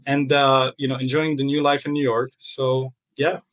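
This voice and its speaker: A young man from Lebanon joins the podcast over a video call. He talks at an ordinary middle pitch, searching for his words with frequent fillers and restarts. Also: flat delivery, normal speed, a bit bright. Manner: hesitant, many fillers and restarts. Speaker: podcast guest. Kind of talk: casual interview.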